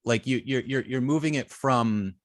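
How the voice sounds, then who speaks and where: A man teaching informally as an instructor, thinking aloud with halting, repeated and restarted phrases. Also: mid-range voice, dry recording, studio quality. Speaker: web design instructor.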